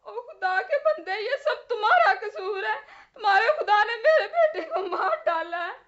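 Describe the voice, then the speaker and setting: A woman's voice: moderate pace, dull audio. An actress playing a grieving, devout widowed mother in a religious drama, crying and wailing through her reproachful words. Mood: tearful reproach. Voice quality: tearful, high. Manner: wailing, sobbing delivery.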